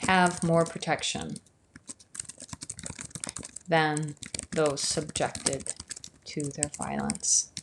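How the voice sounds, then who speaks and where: Thoughtful woman, low-toned reflective narration. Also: sing-song, background noise. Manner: measured, thoughtful, some words drawn out slowly. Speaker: video essayist.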